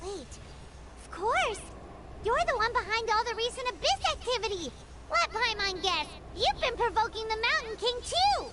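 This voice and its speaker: A woman voicing a tiny, excitable floating fairy companion with a chirpy, blunt way of talking. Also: very high voice, noisy background. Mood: accusing. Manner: chirpy, blunt, quick to accuse.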